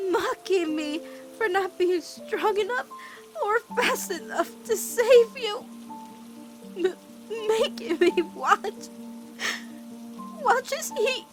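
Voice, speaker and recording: A woman voicing an anguished, devoted teenage girl in an emotional cartoon fan dub, with dramatic, halting, pleading delivery. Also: very high-pitched. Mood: tearful, panicked. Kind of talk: emotional animated-series fan comic dub.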